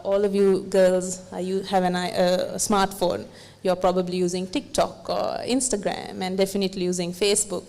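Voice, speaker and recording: A woman's voice: medium pitch, a little mellow. A woman panelist giving thoughtful remarks in a discussion, with frequent fillers and restarts. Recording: long hall reverb.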